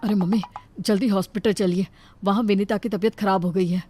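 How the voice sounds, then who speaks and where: A woman's voice: medium pitch, steady tone, brisk, dark tone, a little hiss or hum, lightly acted. A woman voicing a mild, caring young husband in a cartoon moral story. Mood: alarmed.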